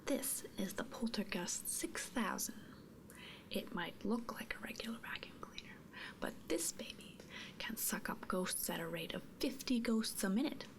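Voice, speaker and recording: A woman performing an ASMR roleplay in a soft, breathy whisper, gently inquisitive and murmuring, close to the microphone. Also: mid-range voice, normal speed. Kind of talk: whispered ASMR roleplay as a ghost removal technician.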